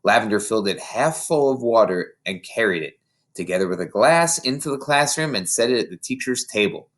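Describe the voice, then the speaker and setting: A man with a fairly low voice reads a children's storybook aloud. His delivery is expressive, with pitch that moves around in a very animated way. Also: fairly quick. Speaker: story reader. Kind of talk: children's audiobook read aloud.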